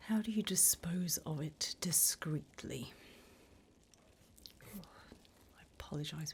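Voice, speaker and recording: A woman voicing a polite, devilish female demon job interviewer in a whispered ASMR roleplay, with a breathy, mock-formal delivery. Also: sits low, darkish tone, slight background noise, heavily acted. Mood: politely probing. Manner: slow, deliberate, mock-formal.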